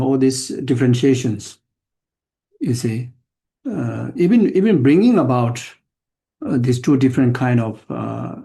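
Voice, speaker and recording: An older man teaching the dharma to an audience. He speaks in halting fragments, often repeating words and restarting phrases, in a plain, reflective and self-mocking way. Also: medium pitch, creaky, warm tone. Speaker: Buddhist teacher.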